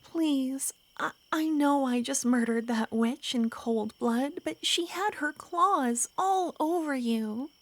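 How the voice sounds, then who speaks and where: A woman voicing an ancient, lovesick female vampire who pleads softly and slips into whispers, in an ASMR roleplay. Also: high voice, slow pace, few pauses. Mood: pleading. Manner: soft, pleading, drifting into whispers.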